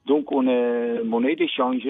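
An older man farmer from the Netherlands with a Dutch accent, speaking plainly and emphatically in a radio interview, pausing often with fillers. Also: mid-range voice, wildly swooping pitch, vocal fry, no room sound, faint music behind. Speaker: fruit farmer. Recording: tinny phone-like radio line.

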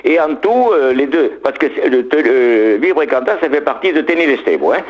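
An older man in his seventies answers a radio interviewer at an easy pace, his voice settling low at the end of each phrase. The broadcast sound is a little muffled and lacks sparkle. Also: big pitch swings, a little fast. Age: 70s. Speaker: association president, interview guest.

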